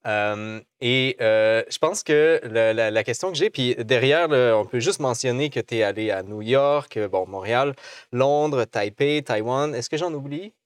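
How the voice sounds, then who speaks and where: A man's voice: mid-range voice, a little slow, clear, resonant tone, dry recording. A man hosting an interview, asking thoughtful questions while searching for his words. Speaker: host. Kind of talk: conversational interview.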